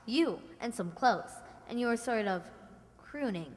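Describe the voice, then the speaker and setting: A woman's voice: on the high side, animated, measured pace. A woman playing an eager, gossipy young kid sister in a radio comedy, telling tales in an earnest, confiding way. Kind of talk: 1940s radio comedy acting. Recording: echoey hall stage recording.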